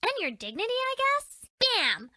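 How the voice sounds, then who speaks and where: Cheeky bratty dating game flirt, high bright voice, teasing and theatrical. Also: female voice, wildly swooping pitch, unhurried, no echo, studio quality, full character voice. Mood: smug teasing. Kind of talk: video game character voice lines.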